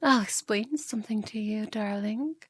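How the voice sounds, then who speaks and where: A woman's voice: mid-range voice, moderate pace, breathy edge, dry recording, lightly acted. A woman playing a seductive, mocking yandere demon in an ASMR roleplay, coaxing and theatrical, often slipping into whispers.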